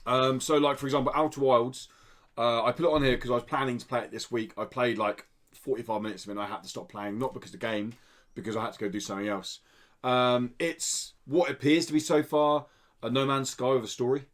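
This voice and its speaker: A male podcast co-host with a medium-pitched voice talks casually about video games. His delivery is informal and conversational, peppered with the occasional swear word. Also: fast.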